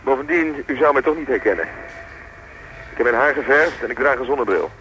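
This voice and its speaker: A man's voice: mid-range voice, lively intonation, fairly quick, fairly bright tone, a little hiss or hum, close to an everyday voice, low-quality recording. An actor playing a defiant, distrustful young man on the run, mocking as he speaks on a call in a radio drama. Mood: mocking, wary. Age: young adult. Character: wary, defiant young male fugitive on the phone.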